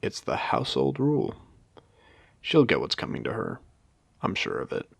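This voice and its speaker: Casting: male actor as world-weary AI casino owner for audio drama, genteel and theatrical, low voice with swooping, expressive intonation. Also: creaky, husky, no echo, heavily acted. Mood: cold, resigned. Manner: measured, genteel, theatrical, with pointed pauses. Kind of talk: intimate scripted audio roleplay addressed to the listener.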